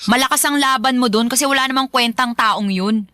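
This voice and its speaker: A woman's voice: a little high, brisk, bright, forceful tone, no echo. A woman playing a lovestruck young woman in a radio drama, bantering expressively and candidly. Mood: exasperated, playful.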